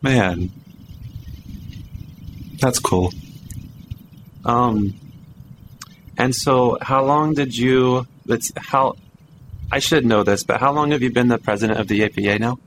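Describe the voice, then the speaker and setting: A man's voice: medium pitch, takes it slowly, long pauses, fairly bright tone, a little hiss or hum. A man hosting an interview in a casual, chatty way, asking hesitant questions and adding short backchannels. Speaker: interviewer, host.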